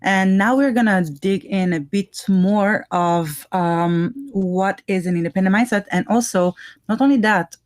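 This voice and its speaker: A woman's voice: mid-range voice, a little slow, a little mellow, no echo, clean studio sound. A woman with a Hebrew accent, co-hosting a talk show and earnestly explaining ideas. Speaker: podcast co-host. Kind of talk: casual chat.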